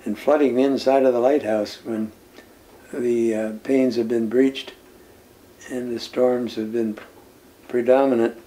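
An older man reminisces about his years of service, seated in a room full of nautical keepsakes. His voice is warm and mellow, and he speaks in a calm, unhurried way. He pauses often to gather his thoughts and laughs softly now and then. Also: medium pitch, slight background noise, rough recording. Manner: unhurried storytelling with many "uh" pauses and soft chuckles. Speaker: former lighthouse keeper, interviewee. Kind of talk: reminiscing interview answers. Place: indoor room lined with nautical memorabilia. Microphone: seated interview, camera at medium distance.

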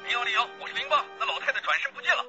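An actor playing a tense plainclothes police officer reporting from a stakeout over police radio, in a radio drama. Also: male voice, extremely high pitch, racing, lo-fi sound, over music. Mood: alarmed.

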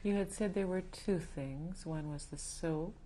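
A woman interviewer asking brief, calm questions from away from the mic. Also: on the low side, a little slow. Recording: off-mic with slight hiss.

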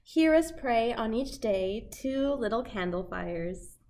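A young woman hosting a children's storytime, reading a picture book aloud in simple, deliberate phrases for toddlers. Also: fairly high pitch, animated, a little mellow. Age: young adult. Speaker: children's library storytime host. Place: small indoor set with fabric backdrop.

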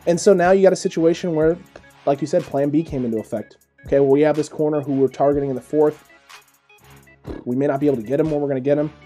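Young male podcast host, rapid delivery, very dark mellow tone, on a webcam call. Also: a little high, faint music behind. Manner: fast, animated, leads the discussion. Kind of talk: casual sports analysis chat. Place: small room, indoors. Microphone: webcam, mic close in front, video call.

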